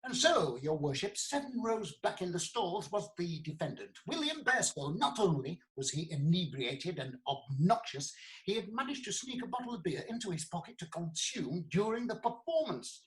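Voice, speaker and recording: A woman's voice: fairly low pitch, big pitch swings, moderate pace, poor audio. A woman playing an earnest prosecuting lawyer in a comic courtroom radio play, formally and theatrically recounting a case. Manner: formal, storytelling, deferential.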